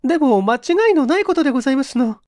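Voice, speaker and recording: A woman's voice: sits high, animated, quick pace, a little mellow, dry recording, studio quality. A woman playing a distressed, hesitant young office worker in an audio mystery drama, confessing in formal, deferential language. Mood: resolved, earnest.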